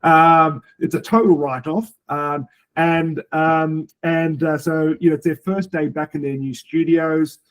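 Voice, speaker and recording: A man with a somewhat high voice hosts a business webinar. He introduces the guests in a loose, rambling way and fills his pauses with ums and uhs. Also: steady tone. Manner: rambling, many fillers. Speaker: webinar host.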